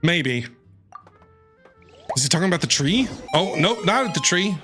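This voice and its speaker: A man streaming a video game, reacting excitedly in casual commentary and talking back to the characters. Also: high-pitched, moderate pace. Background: game music.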